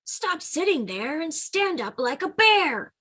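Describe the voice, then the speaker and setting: A pack of grumpy storybook bears growls scolding orders, slow and pushy. A woman reads them aloud. The voice leaps up and down as they nag. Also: very high voice, very animated, bright, forceful tone, voice pushed up, heavily acted.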